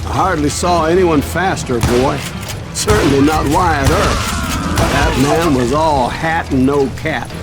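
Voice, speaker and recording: A man acts out an argumentative frontier fellow from a Western game. His voice is slightly high, with an American Western accent and a blunt, skeptical edge, set against loud music. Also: a little slow, lightly acted. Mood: contrary, defensive. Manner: blunt, challenging. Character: skeptical, argumentative male listener in frontier West. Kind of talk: dramatic dialogue in a Western game.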